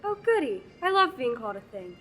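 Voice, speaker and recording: A woman's voice: on the high side, big pitch swings, brisk, fairly bright tone, a little hiss or hum. A teenage actress playing a blunt, sarcastic young farm girl in a school radio play. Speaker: student actor. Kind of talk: amateur radio play acting.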